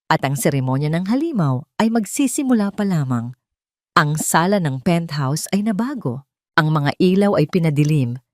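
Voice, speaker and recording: Lady telling a dramatic story, kinda low voice, very animated, super dry close sound. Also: dry recording. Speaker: story narrator. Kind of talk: dramatic scripted narration of an audio drama.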